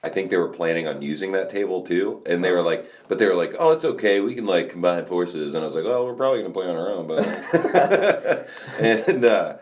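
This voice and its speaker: A young man co-hosting a podcast, chatting casually, loud and quick to laugh, swearing now and then. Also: low voice, expressive, slight background noise. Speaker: podcast co-host. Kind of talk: casual chat.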